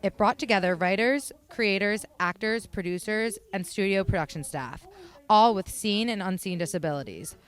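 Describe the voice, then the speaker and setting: American lady reporter reading out an event story, medium pitch. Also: normal speed, clean tone. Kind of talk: scripted news report narration.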